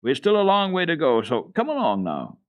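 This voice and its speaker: A man's voice: on the high side, wildly swooping pitch, moderate pace, phone-quality sound, pitched above the performer's own voice. A man voicing a bossy, anxious young goatherd boy in a storybook reading, calling out and scolding. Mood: urging.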